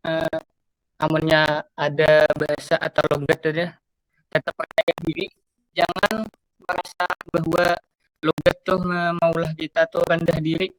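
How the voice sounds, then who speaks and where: A young man, making an earnest point in a classroom debate and pausing on fillers. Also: on the high side, completely flat delivery, fairly bright tone, slight background noise, poor audio. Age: young adult. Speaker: student debater.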